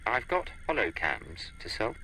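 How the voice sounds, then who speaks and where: Game casting: a confident spy, terse and controlled, with a very sharp, lowish voice. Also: male voice, sing-song, unhurried, husky, exaggerated voice, poor audio. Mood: cool, assured. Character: cool, confident male secret agent. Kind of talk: video game dialogue.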